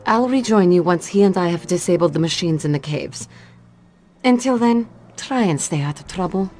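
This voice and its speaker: A woman's voice: fairly low pitch, expressive, moderate pace, a little mellow, faint music behind, close to an everyday voice. Actress as a cool Russian female secret agent. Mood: reassuring, firm. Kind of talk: video game cutscene acting.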